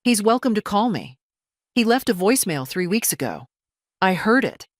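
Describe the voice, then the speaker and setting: A woman voicing a composed, firm older mother in an audio story, measured and dry in manner. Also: medium pitch, sing-song, no room sound, voice pushed up, close to an everyday voice. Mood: calm, firm.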